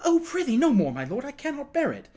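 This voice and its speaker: A young king from a historical storybook, voiced by a male reader. He sounds high and lively, earnest and compassionate. His phrasing is archaic and full of feeling. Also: measured pace, exaggerated voice. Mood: distressed. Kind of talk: dramatized audiobook reading.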